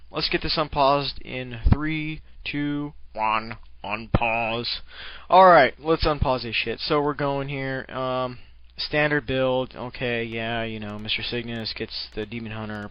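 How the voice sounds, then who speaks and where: A man's voice: medium pitch, fairly even intonation, a little slow, smooth, no echo, muffled recording. A man giving casual, rambling commentary on a game replay, with profane, jokey slang.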